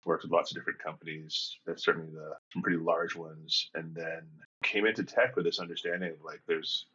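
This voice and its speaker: Male coach, 50s, low husky voice with a bright edge, dull lo-fi recording. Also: level tone, a little fast. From England and Jamaica. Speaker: executive and leadership coach. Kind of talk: reflective conversational storytelling.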